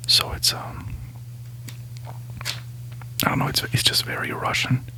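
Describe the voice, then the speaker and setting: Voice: measured pace, sharp tone, low-quality recording. A native Spanish speaker whispering a rambling ASMR book haul, sleepy and often correcting themselves. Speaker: ASMR host.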